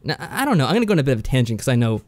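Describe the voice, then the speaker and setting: A man's voice: medium pitch, big pitch swings, racing, dry recording. A man co-hosting a podcast, casually summarising and reviewing games in a dry, understated way. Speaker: podcast co-host.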